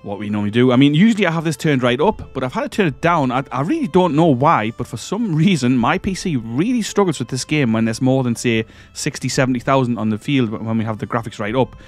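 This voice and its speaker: Croaky man with a cold, rambling gameplay talk. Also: medium pitch, lively intonation, fast talker, few pauses, soft background music. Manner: rambling, hesitant, self-correcting. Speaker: gaming channel host. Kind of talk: casual let's-play commentary.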